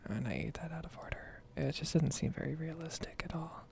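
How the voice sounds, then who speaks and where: A soft-spoken, unhurried gaming host giving a hushed, whispery ASMR ramble over gameplay. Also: mid-range voice. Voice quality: whispery, creaky.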